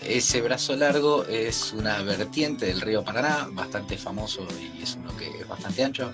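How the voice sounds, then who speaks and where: Low-voiced Argentine man chatting over music. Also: animated, moderate pace. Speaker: guest camping video creator. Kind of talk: casual explanatory chat. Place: indoor room, video call.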